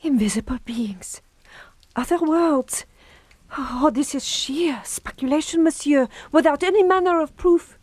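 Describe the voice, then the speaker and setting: An actress playing an anxious, devoted French maidservant in an audio horror drama, deferential, earnest and hesitant. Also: female voice, high-pitched, unhurried, a little mellow, no echo. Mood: pleading, worried.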